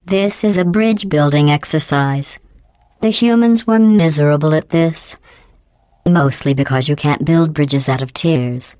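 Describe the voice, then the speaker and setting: Cold sarcastic AI overseer, low. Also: female voice, dry recording. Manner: deadpan, condescending, passive-aggressive. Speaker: video game character. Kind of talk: game voice clips.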